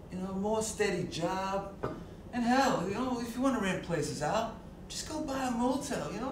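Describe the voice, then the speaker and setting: A man playing an older, friendly but nosy traveler who talks in a chatty, fatherly way and hesitates. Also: sits high. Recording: distant, echoey, noisy.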